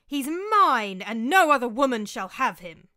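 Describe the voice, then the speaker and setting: A woman's voice: high voice, sing-song, measured pace, pushed and bright, voice pushed up, exaggerated voice. A woman voicing a furious, jealous Roman woman who screams and commands. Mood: raging.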